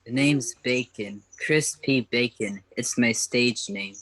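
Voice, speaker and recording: Male actor as flirty diner patron, radio comedy: medium pitch, casual, deadpan, cheeky. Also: slow, poor audio. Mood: unbothered. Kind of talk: radio comedy play acting.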